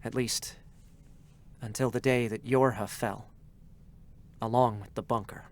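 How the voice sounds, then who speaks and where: A man playing a devoted young male android soldier in a dramatic reading, slow and emotionally raw, with a soft breathy voice. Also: mid-range voice, steady tone, close to an everyday voice. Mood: tender, mournful. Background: music.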